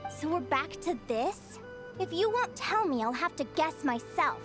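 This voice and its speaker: A high-voiced, earnest young changeling girl. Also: expressive, a little slow, music in the background. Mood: earnest questioning. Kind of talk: video game voice acting.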